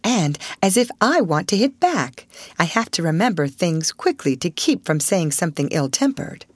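A woman's voice: fairly low pitch, sing-song, normal speed, dry recording. A woman voicing a kind, motherly schoolgirl who is self-controlled and earnest, in a storybook reading. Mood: confiding. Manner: earnest, coaxing.

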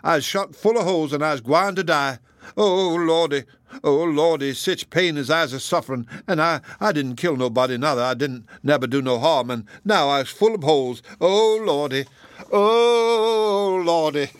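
An excitable old ship's cook acted out by a male storybook reader, pitched high and given to wailing exclamations. He is fearful and devout, with a thick dialect, in a dry, close recording. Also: normal speed, no room sound, lightly acted. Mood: panicked wailing.

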